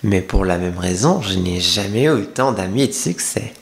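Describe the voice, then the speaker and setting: A man's voice: low-pitched, lively intonation, moderate pace, vocal fry, pitched below the performer's own voice. Man playing a playful, coy femboy streamer. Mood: reflective, confiding. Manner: playful, teasing, confiding. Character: coy young male femboy streamer serving in a maid café. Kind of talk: intimate ASMR roleplay addressed to the listener.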